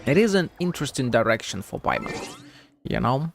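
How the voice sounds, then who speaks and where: A man's voice: medium pitch, very animated, brisk, creaky, slight background noise. A man giving casual live game commentary, rambling and thinking aloud as he plays. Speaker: game streamer.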